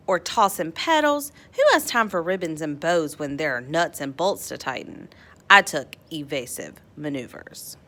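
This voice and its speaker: Bright, animated woman reading a storybook. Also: deep voice. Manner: animated, dramatic emphasis, playful drawn-out words. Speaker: storybook read-aloud narrator. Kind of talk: expressive children's picture book read-aloud.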